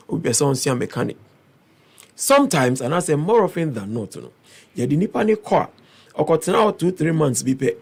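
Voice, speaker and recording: A Ghanaian man speaking through a hoarse, gravelly voice, with a slightly raised pitch. He lays out his ideas earnestly and steadily in an interview, his tone husky and worn. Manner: earnest, persuasive, steady explaining. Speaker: parliamentary aspirant guest. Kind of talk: interview answers mixing Twi and English.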